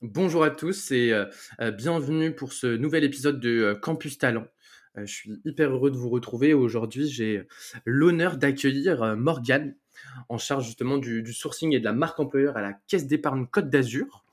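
A man's voice: medium pitch, moderate pace. A man hosting a podcast who reads a scripted intro, then interviews his guest with many fillers and repeated words. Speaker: podcast host.

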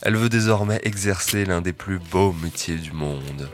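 An actor playing a grandiose, wry storyteller narrating a satirical radio drama. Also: male voice, deep voice, normal speed, natural voice. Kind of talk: mock-epic scripted narration. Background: prominent music.

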